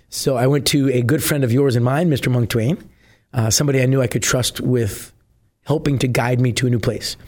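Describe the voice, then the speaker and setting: Husky middle-aged dude just chatting, lots of uhs, goes on and on. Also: male voice, medium pitch, a little mellow. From New Jersey. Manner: chatty, rambling, many "uh" fillers. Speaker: musician guest. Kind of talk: casual interview chat.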